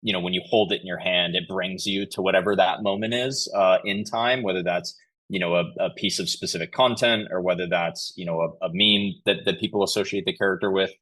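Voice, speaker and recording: A man's voice: low voice, steady tone, a little fast, fairly bright tone. A man answering interview questions in a conversational, explanatory way, often saying "you know". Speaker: podcast guest, company chief executive.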